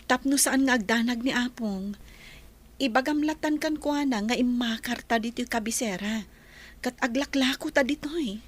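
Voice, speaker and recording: A woman's voice: on the high side, moderate pace, natural voice. A woman playing a friendly, practical young vendor in an audio drama, with an Ilocano Filipino accent. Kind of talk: amateur audio drama acting.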